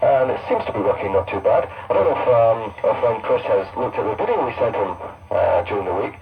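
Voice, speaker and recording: A man's voice: lowish voice, very animated, fairly quick. A man presenting casually and unscripted on a pirate radio station, heard over shortwave. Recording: muffled, phone-like shortwave radio, distant.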